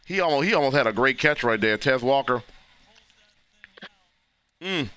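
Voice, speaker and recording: Hoarse man reacting live to football. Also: medium pitch, expressive, normal speed, pushed and bright. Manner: loose, talky, frequent restarts. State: losing his voice. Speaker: livestream host reacting to a football game. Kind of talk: casual live game reaction.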